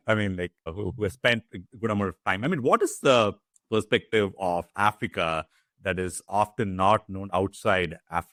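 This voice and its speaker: A man hosting an interview, asking halting questions with many fillers. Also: fairly low pitch, animated, fairly bright tone, no echo, studio quality. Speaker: podcast host.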